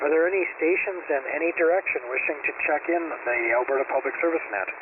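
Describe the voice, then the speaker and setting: A man's voice: a little high, quick pace, phone-quality sound. A man relaying for an amateur radio net, making formulaic requests for stations to check in. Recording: thin shortwave radio signal with hiss.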